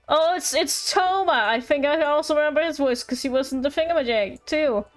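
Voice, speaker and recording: A woman's voice: high-pitched, normal speed, faint music behind. A woman livestreaming a game, chatting casually and tripping over words as she reads aloud. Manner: stumbles over words while reading aloud. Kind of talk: casual livestream chat mixed with reading game text aloud.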